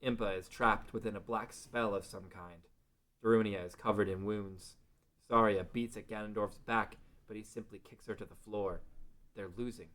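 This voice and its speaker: A man with a fairly low voice serves as narrator for a table read. He reads scripted fantasy narration in a dramatic storytelling style. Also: fairly even intonation, normal speed, fairly bright tone.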